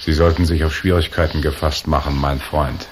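Deep-voiced hard-boiled tough guy. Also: steady tone, fairly quick, background noise. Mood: cool, tough. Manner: dry, unflustered, hard-boiled. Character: cool, tough, confident gunslinging crime-story hero. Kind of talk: radio crime drama acting.

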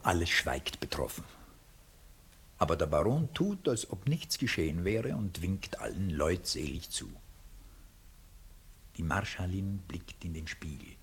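A man calmly reading the stage directions as narrator of a radio drama. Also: sits low, slightly rough. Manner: calm, measured, sometimes hushed.

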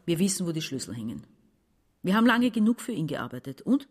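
A woman's voice: lowish voice, big pitch swings, quick pace, husky. An actress playing a bitter, domineering woman in her forties in a radio play, insistent and condescending. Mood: bitter, confiding. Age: 40s. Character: domineering, bitter sister masterminding a robbery. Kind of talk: radio play dialogue and monologue.

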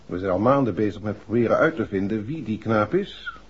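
A man's voice: lowish voice, lively intonation, fairly quick, a little hiss or hum, natural voice. A man acting a polite, weary, matter-of-fact police inspector in a 1960s radio drama, explaining things patiently. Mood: weary, explanatory. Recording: muffled old 1960s radio recording.

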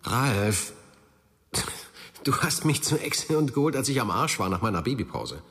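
A man acting in a radio crime drama as a defensive, evasive middle-aged ex-journalist, indignant and husky, in a naturalistic style. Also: mid-range voice, sing-song, normal speed, a bit bright, full character voice.

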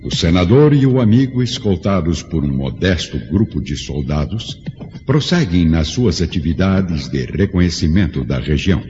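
A man's voice: deep voice, lively intonation, moderate pace, darkish tone, slight background noise. A man narrating a radio drama as a solemn storyteller, with dramatic scripted delivery. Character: solemn storyteller of an ancient epic. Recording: dull lo-fi radio drama, music behind.

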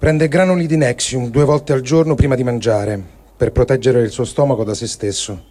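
A man reading a first-person war diary aloud as testimony, sober and measured in his phrasing. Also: mid-range voice, steady tone, dark tone. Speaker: reader of written testimony.

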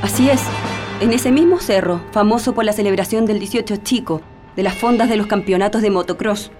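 A woman's voice: medium pitch, a little fast, lightly acted. A woman playing a sorrowful, insistent witness recalling past atrocities in a radio drama. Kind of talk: radio drama acting, reminiscence. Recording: faint music under the voice.

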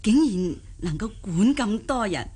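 A woman playing a humble, hesitant old country granny who flatters rich relatives in a Cantonese radio drama. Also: mid-range voice, unhurried, slightly rough. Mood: admiring. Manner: hesitant, deferential.

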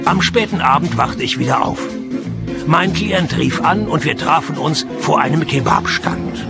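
A sardonic private detective, with heavy gravel in a fairly high voice. He delivers noir-parody lines deadpan, yet his pitch moves about in a lively way. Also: a little slow, loud music behind the voice. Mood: wry, weary. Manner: deadpan, dry. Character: sardonic, down-at-heel, gluttonous male private detective. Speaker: lead actor and first-person narrator. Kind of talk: radio play, noir-parody narration and dialogue.

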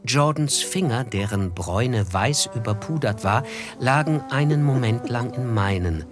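An actor playing a reflective, observant young gentleman narrating a literary German radio drama, with music behind him. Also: medium pitch, animated, unhurried, lightly acted.

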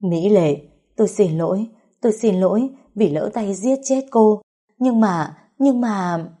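A woman voicing a terrified older woman who pleads and sobs for her life, her voice husky and dark. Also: mid-range voice, a little slow, pitched above the performer's own voice, close to an everyday voice. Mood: pleading. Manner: shrieking, sobbing pleas.

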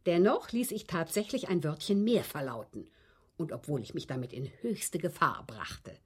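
An elderly, articulate widow in a radio play. Her voice is low and husky, swinging widely in pitch. She speaks formally and precisely, coolly confessing with haughty, dry scorn. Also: exaggerated voice. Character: proud, articulate old English widow, coolly confessing to police. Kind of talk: radio drama acting.

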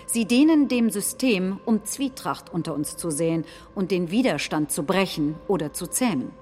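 A woman acting in documentary theatre, delivering nationalist political speeches in a deliberate, declamatory manner. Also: fairly low pitch, lively intonation, darkish tone, soft background music, close to an everyday voice. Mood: solemn, resolute. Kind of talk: staged reading of political speeches.

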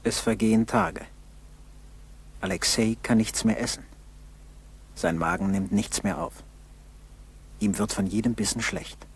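A male narrator of a vintage radio drama, low and gravelly. He reads in short, grave, measured sentences with a breathy, husky edge. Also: sing-song.

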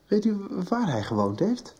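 An actress playing an eager, bookish and polite secondary-school pupil in a radio soap. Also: female voice, mid-range voice, sing-song, moderate pace, mellow, lightly acted. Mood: eager, curious. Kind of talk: Dutch radio soap acting.

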